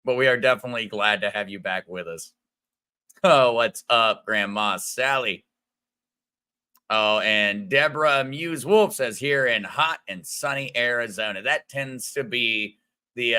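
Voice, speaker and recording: A man with a bright, smooth voice hosts a relaxed livestream. He greets viewers by name in a friendly way and rambles a little between comments. His tone is crisp and clear. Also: medium pitch. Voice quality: smooth radio-like voice. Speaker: crypto livestream host. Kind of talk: casual livestream chat reading viewer comments.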